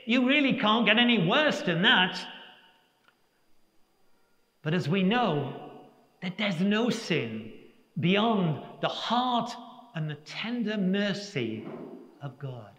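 An older man, a priest giving a Sunday homily in a large church, preaching slowly and reflectively with emphatic pauses. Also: high-pitched, big pitch swings, bright, forceful tone. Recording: echoey church with long reverb.

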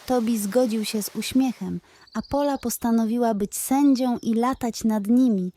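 A woman's voice: fairly high pitch, moderate pace, warm tone. A woman narrating a scripted bedtime story for children.

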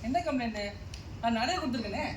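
A man's voice: very high voice, fast. A man acting in a comic stage play as a pompous, nitpicking householder, scolding and exasperated. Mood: irritated. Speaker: stage actor. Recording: distant mics, echoey hall, noisy.

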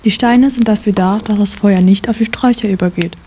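A woman's voice: medium pitch, fairly quick, dark tone, slight background noise, lightly acted. A woman playing a practical, knowledgeable young forest survivor in an amateur audio drama. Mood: matter-of-fact.

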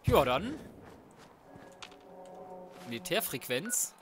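Higher-pitched man, slangy gamer. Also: very animated, very slow, noisy background. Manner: slangy, cocky, muttering to himself. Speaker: let's player. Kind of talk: casual gameplay commentary. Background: video game music and sounds.

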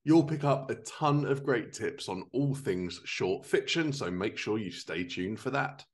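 A man's voice: medium pitch, lively intonation, a little slow. A man in his thirties presenting a podcast in an upbeat, enthusiastic, scripted style. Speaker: podcast host. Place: living room at home.

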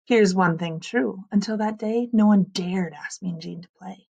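A woman in her thirties reading a children's story aloud like a teacher, expressively, with dramatic drawn-out emphasis on key words. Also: mid-range voice, gravelly, warm tone, slightly rough, lo-fi sound. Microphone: computer mic on a screen-share video recording.

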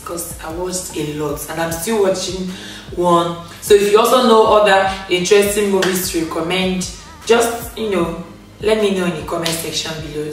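Chatty young woman vlogging in an echoey room. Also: lowish voice. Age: young adult. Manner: chatty, expressive, laughs often, with hand gestures. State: recovering from losing her voice. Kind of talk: casual storytime rant to camera. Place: indoor living room, on a grey sofa. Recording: echoey room, a bit far from the mic, faint music.

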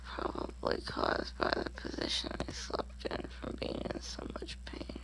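A woman's voice: unhurried, a bit bright. A young woman in pain, just woken up, whispering a video diary from bed into her phone. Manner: tired, whispery, mostly unvoiced. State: in pain, groggy from waking. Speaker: vlogger. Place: in bed in a bedroom. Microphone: phone front camera at arm's length.

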